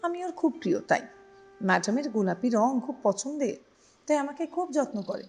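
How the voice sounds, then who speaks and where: A woman's voice: high-pitched, very animated, moderate pace, darkish tone. A woman voicing a proud, fussy young female piece of clothing in a story, dramatic and easily indignant. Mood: proud, curious. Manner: dramatic, indignant, peppers talk with English words.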